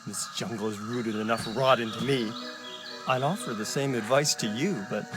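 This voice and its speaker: A man's voice: mid-range voice, normal speed, natural voice. An actor playing an unhinged, rambling, fearful man stranded in a jungle in a video game, agitated and erratic, with music behind him. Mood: bitter, rambling.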